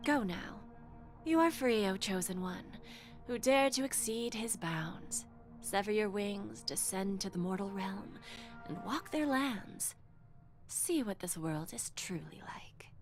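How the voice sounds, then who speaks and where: A woman's voice: medium pitch, lively intonation, slow. Silky, calculating lady dealmaker. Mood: persuasive, knowing. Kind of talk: English game voice acting. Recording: voice over loud game music.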